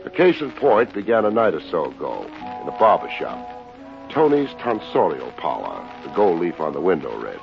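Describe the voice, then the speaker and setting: An actor playing a wisecracking, deadpan private investigator with an American accent, in the style of fifties radio detective drama. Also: male voice, low voice, moderate pace, vocal fry, full character voice. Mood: wry narration. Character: wry, hard-boiled male private investigator. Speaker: radio detective lead and narrator. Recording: dull vintage radio recording, music behind.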